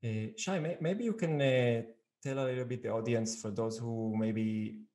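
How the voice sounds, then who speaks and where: A man hosting a conversational interview, speaking haltingly and repeating words as he searches for phrasing. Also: medium pitch, dark tone. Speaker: podcast host.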